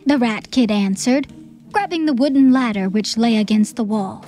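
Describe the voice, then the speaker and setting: A woman's voice: a little high, moderate pace, dark tone, soft background music. A woman reading scripted fantasy storybook narration as an audiobook narrator.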